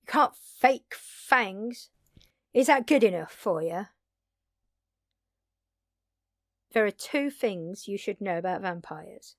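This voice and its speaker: A woman playing an earnest, blunt, long-lived vampire in an intimate audio drama, speaking haltingly and pleadingly with dramatic pauses. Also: mid-range voice, expressive, no echo, studio quality. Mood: exasperated, insistent. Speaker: voice actor.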